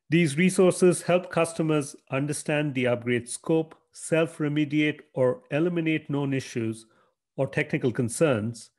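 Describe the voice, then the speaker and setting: Measured man reading a corporate presentation. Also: a little high. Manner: measured, formal, reads from a script. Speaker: product presenter.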